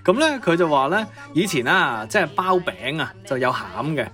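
A man's voice: a little high, sing-song, normal speed, pushed and bright. A young man speaking Cantonese, co-hosting in a casual, explanatory chat with an attentive, giggly manner. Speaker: podcast co-host. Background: music behind the voice.

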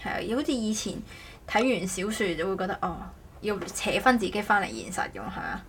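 A woman co-hosts a casual podcast, chatting haltingly and often correcting herself. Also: medium pitch, expressive, gravelly, fairly bright tone, a little hiss or hum. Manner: halting, repeats words, self-correcting. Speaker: podcast host. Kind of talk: casual co-hosted podcast chat.

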